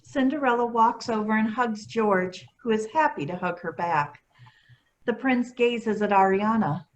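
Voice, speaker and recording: A woman's voice: on the high side, unhurried, rough recording. A woman reading stage directions as a scripted narrator.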